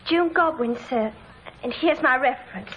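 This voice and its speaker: An actress playing a timid, respectful young country housemaid in an old-time radio drama, speaking with deferential hesitation. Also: female voice, high voice, moderate pace, a bit bright. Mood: polite, eager. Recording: noisy old radio recording.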